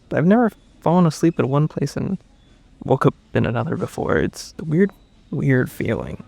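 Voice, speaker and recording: A man's voice: a little high, vocal fry, a little mellow. A man playing a confused, shaken survivor under questioning in a naturalistic audio drama, hesitant and stammering as he speaks. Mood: confused, dazed.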